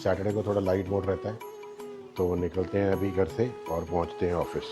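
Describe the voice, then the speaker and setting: A man's voice: low voice, little pitch movement, a little fast. A man with a sore throat and a cold chatting casually to his viewers as he narrates his day. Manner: chatty, addresses viewers directly. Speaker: vlogger. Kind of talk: casual daily vlog narration. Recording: music playing loudly behind.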